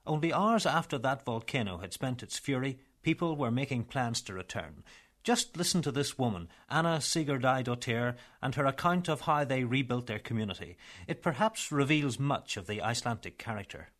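Guy doing measured documentary narration, formal and even. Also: medium pitch. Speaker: documentary presenter and interviewer.

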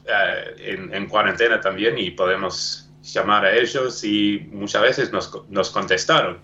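A man's voice: fairly low pitch, fairly even intonation, a little slow, pushed and bright, dull audio. A native English-speaking man with an Argentine-tinged Spanish accent, talking as a documentary producer, hesitant and searching for words. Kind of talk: remote podcast interview. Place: home studio.

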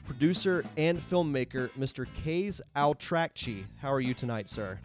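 A man's voice: medium pitch, lively intonation, normal speed, faint music behind. A man hosting a podcast, chatting casually with a guest and using frequent fillers. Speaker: podcast host. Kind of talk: casual interview chat.

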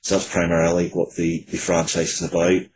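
Deep voiced guy, writer being interviewed, kinda halting as he explains, slight hiss behind. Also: little pitch movement, darkish tone. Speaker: writer guest.

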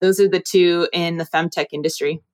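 A woman from New Jersey in her thirties, a bubbly investor talking as a podcast guest, her voice leaping up when she quotes people. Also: fairly quick. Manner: bubbly, animated, jumps up in pitch when quoting people. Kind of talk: podcast interview.

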